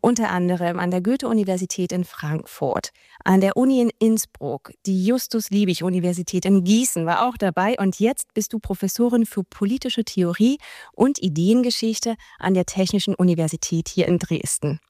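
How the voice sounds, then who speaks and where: A woman's voice: medium pitch, moderate pace, mellow, no echo, studio quality. A woman hosting an interview, giving a formal and polite introduction of her guest before asking questions. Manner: polite, formal, slightly read-out. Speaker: interviewer host.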